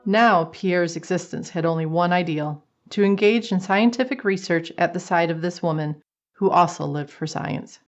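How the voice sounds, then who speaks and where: Female narrator for a radio play, slightly low voice, scripted storytelling, somewhat muffled audio. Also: normal speed.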